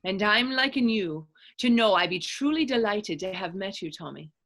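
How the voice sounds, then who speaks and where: A woman's voice: medium pitch, animated, normal speed, a bit bright. A woman playing a bold, teasing grown woman in readers theater, with playful, lilting old-fashioned dialect. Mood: flirtatious teasing. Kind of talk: readers theater stage acting.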